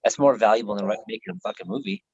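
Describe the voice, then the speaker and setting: A man's voice: on the low side, very animated, rapid-fire, vocal fry, husky. Laid-back American man, lo-fi call. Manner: laid-back, swears freely, laughs often. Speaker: host. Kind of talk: casual chat on a live video call. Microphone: video call.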